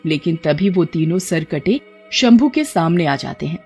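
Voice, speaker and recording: A woman's voice: on the low side, lively intonation, measured pace, warm tone, breathy edge, soft background music. A woman reading scripted narration for a horror cartoon story.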